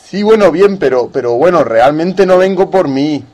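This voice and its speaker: A man's voice: on the high side, animated, normal speed, warm tone. A man speaking colloquially and mock-earnestly as the patient in a satirical radio sketch. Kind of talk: slow, casual satirical sketch dialogue.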